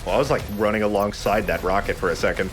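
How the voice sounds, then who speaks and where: A man's voice: fairly low pitch, fast talker, soft background music. A man hosting a let's play, giving casual, jokey game commentary with friends. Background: game sound.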